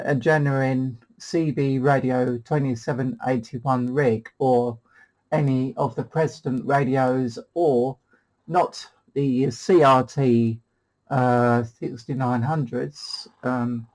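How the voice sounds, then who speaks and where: A man's voice: medium pitch, steady tone, slow, a little mellow, poor audio. A British man hosting a CB radio demo, chatting casually in a hesitant, rambling way. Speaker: CB radio hobbyist and channel host.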